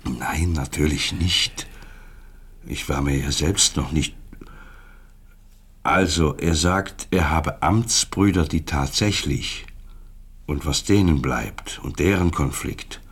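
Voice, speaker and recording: A middle-aged former secret police officer in a radio play. His voice sits very low and rough around the edges. He talks haltingly, a troubled man whose sentences break off as he seeks absolution. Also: a bit bright, heavily acted. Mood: troubled, recounting. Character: despairing former East German Stasi officer, seeking absolution. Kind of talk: German radio play acting.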